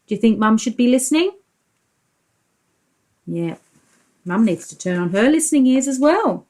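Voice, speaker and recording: A woman's voice: a little high, very animated, moderate pace, warm tone. A woman preschool teacher reading a picture book aloud to young children, explaining things and asking simple leading questions.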